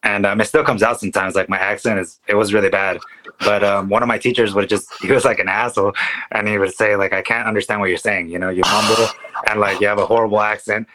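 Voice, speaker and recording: Very deep voice but bright, quick talker, laid-back guy, grew up speaking spanish. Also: fairly even intonation, rough recording. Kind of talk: casual chat.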